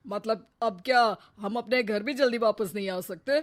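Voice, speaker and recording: A woman's voice: a little high, normal speed, natural voice. A woman voicing a defensive, eager middle-aged husband and father in a cartoon moral story.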